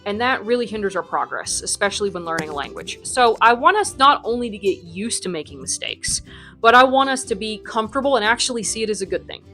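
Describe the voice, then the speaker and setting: A woman's voice: mid-range voice, animated, quick pace, sharp tone, soft background music. A young adult woman from the United States, a Spanish teacher who runs her academy, answering interview questions in a chatty way full of ums and likes.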